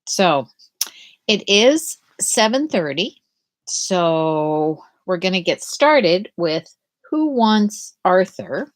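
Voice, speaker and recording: Middle aged lady doing a kids storytime, really slow, lively up and down voice, kinda halting chatty asides. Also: lowish voice. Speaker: storyteller hosting a read-aloud for schoolchildren. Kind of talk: live picture-book read-aloud with casual chat.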